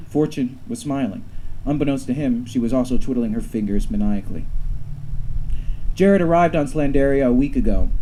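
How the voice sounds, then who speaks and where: A man reads his own short story to a live audience, his delivery dry and wry. His voice is dark and mellow, with a middling pitch. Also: poor audio. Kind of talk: live reading of comic sci-fi fiction. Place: live reading event with an audience.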